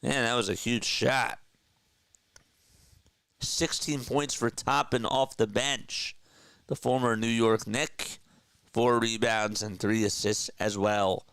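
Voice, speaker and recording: A man with a stuffy nose calling live basketball play-by-play in an energetic, chatty way, talking to his viewers between plays. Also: medium pitch, slow, pauses often, clear, resonant tone, no room sound. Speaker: play-by-play caller.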